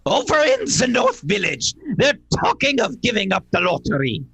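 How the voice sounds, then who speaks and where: A man's voice: sits high, huge pitch swings, moderate pace, gravelly, fairly bright tone, husky, no room sound, full character voice. A man playing a defiant schoolmaster who argues insistently for reason in an amateur radio play. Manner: impassioned, insistent. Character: defiant, reasoning schoolmaster pleading against village tradition.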